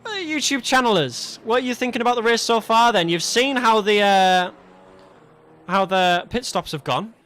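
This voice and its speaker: A man's voice: sits high, expressive, normal speed, a bit bright. A British man giving live sim racing commentary on a livestream, mixing it with matey, jokey, colloquial banter. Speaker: esports livestream race commentator. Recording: noisy stream with car engine sound.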